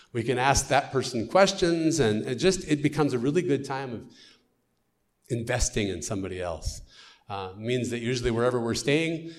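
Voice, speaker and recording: A middle-aged American man, a missionary, giving an earnest storytelling testimony in church. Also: medium pitch. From United States.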